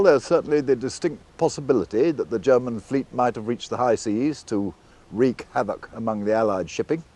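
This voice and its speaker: A British man presenting a documentary, telling the story in a measured, scripted way with conversational asides. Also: mid-range voice, darkish tone.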